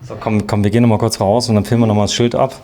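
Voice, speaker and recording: A man's voice: on the low side, flat delivery, very fast, mellow. Casual male presenter with some background noise. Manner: informal, chatty, jokey. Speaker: TV presenter touring show homes. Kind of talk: casual interview while walking around. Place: walking around a show house.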